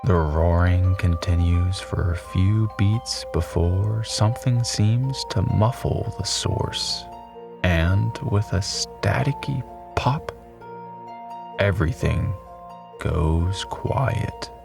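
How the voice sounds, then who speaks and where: A man reading scripted dramatic story narration in a measured, solemn and deliberate manner. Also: low-pitched, creaky, recorded from a distance, music in the background. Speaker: audiobook narrator.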